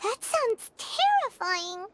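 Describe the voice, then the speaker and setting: A woman voicing a small, childlike fairy companion in a video game, squeaky, earnest and curious. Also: very animated, slow, a bit bright, soft background music, full character voice. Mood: worried, inquisitive. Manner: refers to self in third person, exclamatory. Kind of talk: video game cutscene voice acting.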